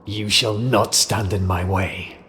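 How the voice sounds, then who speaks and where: An actor playing a weary, guilt-ridden warrior, grave and brooding, in a video game cutscene. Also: male voice, on the low side, fairly even intonation, slow, fairly bright tone, slight background noise. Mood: defiant.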